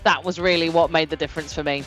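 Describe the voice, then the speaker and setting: A woman's voice: low-pitched, steady tone, fast, soft background music. A woman giving rowing analysis as co-commentator, reflective, with many fillers.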